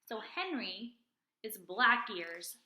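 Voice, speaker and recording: Bright-voiced woman teacher reading in echoey room. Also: medium pitch, sing-song, takes it slowly. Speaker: teacher reading aloud to children. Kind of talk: expressive storybook narration for children.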